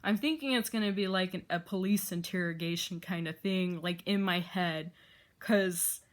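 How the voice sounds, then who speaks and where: A woman's voice: fairly low pitch, normal speed. Rambling young woman, slight Wisconsin accent. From Arizona. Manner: rambling, laughs often, many fillers. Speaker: vlogger. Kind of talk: casual rambling vlog.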